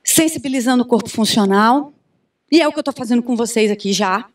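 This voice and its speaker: A Brazilian woman in her thirties giving an animated conference talk on a handheld mic, often asking the audience questions. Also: on the high side, a little fast. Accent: Brazilian Portuguese. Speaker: conference speaker. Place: large conference room with audience. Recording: distant room sound from far camera.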